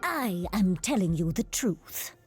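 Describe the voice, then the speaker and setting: A woman's voice: medium pitch, sing-song, slow pace, a little mellow, slightly rough, faint music behind, exaggerated voice. An actress playing a cursed, blood-hungry female monster spy who speaks in formal, archaic, pleading phrases in a video game. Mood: hungry, conflicted. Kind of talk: theatrical video game voice acting.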